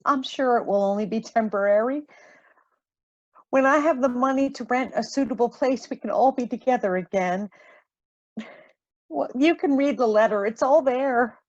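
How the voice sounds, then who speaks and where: A woman acting a troubled, apologetic mother in a modern radio play, speaking earnestly and haltingly. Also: a little high, darkish tone, dry recording, highs cut off, lightly acted. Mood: guilty, apologetic.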